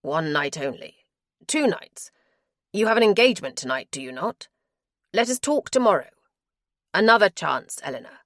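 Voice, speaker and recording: A woman's voice: fairly low pitch, sing-song, normal speed, pauses often, fairly bright tone, no echo, studio quality. A woman voicing a cunning husband who begs urgently not to be left. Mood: pleading. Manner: urgent, begging. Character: pleading, cunning husband, grown man. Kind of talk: audiobook character voice.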